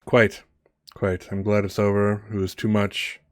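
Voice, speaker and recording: A man playing a courteous upper-class war veteran, a gentleman officer who speaks haltingly and formally in a tabletop game. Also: on the low side, completely flat delivery, no echo, studio quality, natural voice. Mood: weary, magnanimous.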